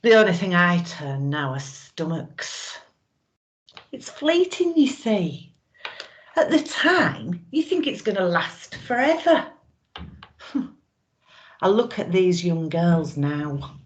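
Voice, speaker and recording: Woman acting a brazen, slow-talking middle-aged widow. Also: fairly low pitch, very animated, pitched above the performer's own voice. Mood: wistful, defiant. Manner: knowing, suggestive, with drawn-out pauses. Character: brazen, wistful middle-aged widow, former man-magnet. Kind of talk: theatrical dramatic monologue.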